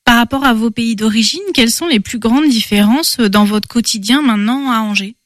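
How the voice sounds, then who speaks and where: A woman's voice: fairly high pitch, moderate pace, no echo, studio quality. A woman interviewing two interns, asking short, plain questions.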